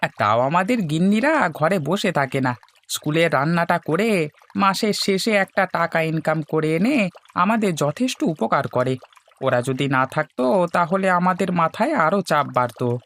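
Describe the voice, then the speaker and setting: A man's voice: fairly high pitch, lively intonation, moderate pace, a bit bright. A man playing a humble, respectful small farmer in a cartoon story. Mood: respectful, agreeable. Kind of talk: Bengali cartoon story dialogue.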